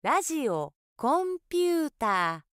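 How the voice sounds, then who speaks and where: A woman speaking for a language course, pronouncing each word slowly and carefully as a drill. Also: high voice, sing-song, a bit bright, soft background music. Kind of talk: clear word-by-word pronunciation drill.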